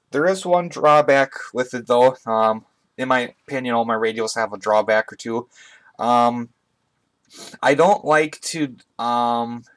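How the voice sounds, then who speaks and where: A man with a mid-pitched voice talks through a hobby gear review in a casual way. His delivery is halting and conversational, picked up by a webcam in a room at home. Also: fairly bright tone. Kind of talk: casual product review to camera. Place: indoors, small room at home. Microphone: webcam on a tripod, speaker off camera.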